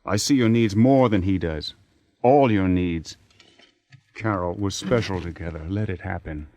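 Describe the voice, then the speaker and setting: An actor in a radio drama playing a persistent, impatient theater man, smooth-talking and sardonic as he presses his case. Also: sits low, a little slow, a little mellow, dry recording. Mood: insistent. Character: persistent, persuasive theater man seducing a married woman, impatient. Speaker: lead actor.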